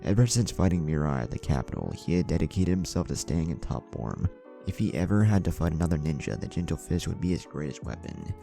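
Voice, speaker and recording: Really deep mellow guy narrating a story, voice moves around a lot, faint music behind. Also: animated, brisk, gravelly. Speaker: narrator reading fan fiction aloud. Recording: music bed under the voice.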